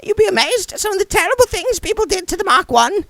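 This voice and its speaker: A squeaky, eager little imp in a gadget. Very high, piping. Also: male voice, very animated, fast, creaky, a bit bright, no room sound, exaggerated voice. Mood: worried chatter. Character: small nervous machine imp, chirpy and eager.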